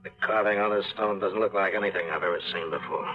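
A greedy, jittery treasure hunter with a fairly low voice, as a man acts him out. He wheedles and talks feverishly. The sound is a muffled old radio play with faint music. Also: fairly even intonation, quick pace, natural voice. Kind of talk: 1950s radio drama acting.

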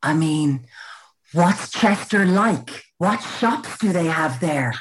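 A woman co-hosting a casual comedic chat, telling stories in an animated, teasing way. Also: low voice, takes it slowly, slight background noise, poor audio. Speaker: podcast co-host.

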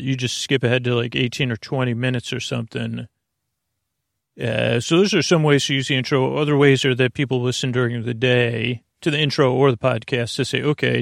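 A man hosting a bedtime podcast, rambling through an intro in a creaky voice with a meandering, halting, muttering delivery. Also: mid-range voice, no room sound, studio quality. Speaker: podcast host.

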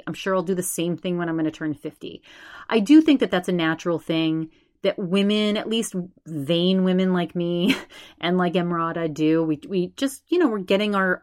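Chatty woman in her forties. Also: fairly low pitch. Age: 40s. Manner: chatty, rambling, animated, addresses subscribers directly. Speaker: podcast host. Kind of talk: solo podcast commentary.

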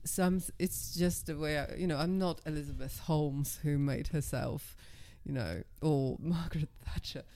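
A middle-aged woman guest with a naturally low, calm and level voice, speaking in a measured, self-assured way. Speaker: guest, professional dominatrix. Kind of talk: casual interview talk.

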